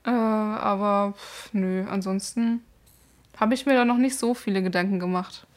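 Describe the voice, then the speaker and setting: A woman's voice: mid-range voice, steady tone, normal speed. A woman in casual conversation, talking hesitantly with many fillers. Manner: hesitant, lots of fillers and restarts. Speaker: conversation partner. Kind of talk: casual chat.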